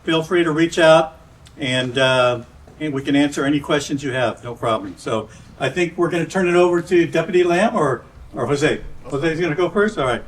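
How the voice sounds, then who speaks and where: A man's voice: a little high, normal speed. A man, a city council member, giving casual welcoming remarks at a community meeting, with many fillers and restarts. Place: church hall meeting. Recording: distant mic in a room with faint noise.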